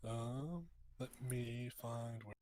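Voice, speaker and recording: A man's voice: mid-range voice, takes it slowly, darkish tone. A man talking casually as a player in an online tabletop roleplaying session. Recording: background noise, keyboard typing and clicks.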